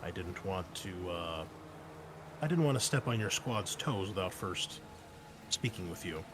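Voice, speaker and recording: A guarded, measured woman officer, put on by a man running a tabletop game, sizing people up in a lowish voice. She picks her words with halting pauses. The recording has a clear hiss of noise behind it. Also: lively intonation. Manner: hesitant, halting.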